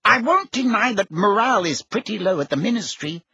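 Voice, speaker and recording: Guy doing a flustered older wizard politician for an audiobook, defensive n agitated, raspy, swoops up and down. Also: high voice, expressive, fairly quick, pushed and bright, no room sound, muffled recording, pitched above the performer's own voice, full character voice. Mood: miserable, anxious. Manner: agitated, urgent, self-justifying. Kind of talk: audiobook character acting.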